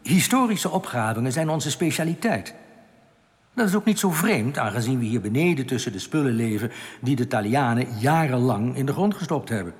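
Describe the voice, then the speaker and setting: An actor playing a solemn underground rebel in a radio drama, giving grave warnings in a measured, earnest, formal way. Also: male voice, mid-range voice, animated, close to an everyday voice. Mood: grave explaining. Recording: long echoing reverb.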